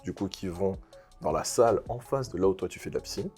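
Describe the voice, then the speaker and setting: A man hosting a casual interview, asking quick, probing follow-up questions. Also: sits low, darkish tone, husky, faint music behind. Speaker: interview host.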